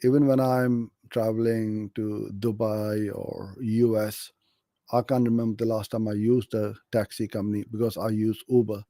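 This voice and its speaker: A man's voice: lowish voice, level tone, moderate pace, mellow, dry recording, studio quality. A middle-aged man from Pakistan hosting a live question session, giving practical advice in a friendly, direct way. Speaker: host answering viewer questions. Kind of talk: live Q&A on online advertising.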